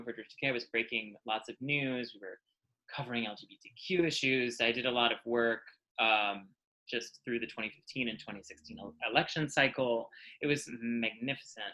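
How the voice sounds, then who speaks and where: An American man from New York chatting casually as a podcast guest, telling personal anecdotes in an animated, self-mocking way with plenty of swearing. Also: mid-range voice, moderate pace, poor audio. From New York City, United States.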